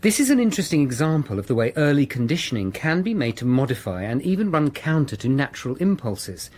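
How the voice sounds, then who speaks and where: A man's voice: medium pitch, sing-song, quick pace, darkish tone. Anxious, deferential male underling. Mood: formal reporting. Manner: hesitant, formal, eager to please. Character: anxious, deferential male subordinate reporting to his superior. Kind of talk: radio drama acting.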